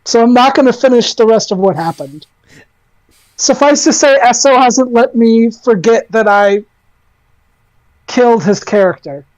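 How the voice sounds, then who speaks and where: A woman podcast guest in casual chat, with a loud voice, hesitant and full of fillers. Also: fairly high pitch, expressive, unhurried, pauses often, no room sound, low-quality recording.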